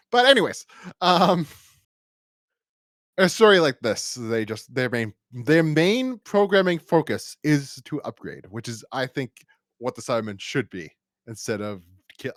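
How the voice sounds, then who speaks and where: A man's voice: medium pitch, a bit bright, dry recording, clean studio sound. A man co-hosting a podcast, chatting casually about an audio drama in a rambling way, often restarting and repeating his words. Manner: rambling, halting with frequent false starts and repeated words. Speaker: podcast co-host.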